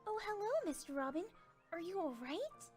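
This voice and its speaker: Very high, tender storybook pony mare, timid and motherly, with music behind. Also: animated, a little mellow. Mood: gentle concern. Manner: fretful, tender, halting. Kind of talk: storybook character voice in fan fiction reading.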